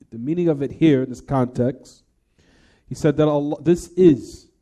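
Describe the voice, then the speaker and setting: A man with a fairly high yet soft, mellow voice delivers a religious lecture. He explains texts in a didactic way, repeating key phrases and posing questions to those listening. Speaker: religious teacher giving a lecture.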